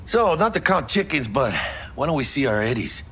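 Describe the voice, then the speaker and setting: Brash street merc, animated. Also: male voice, medium pitch, normal speed, slightly rough, heavily acted. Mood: eager. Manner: slangy, swearing, cocky. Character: brash, excitable young street mercenary with big dreams. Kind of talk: video game acting.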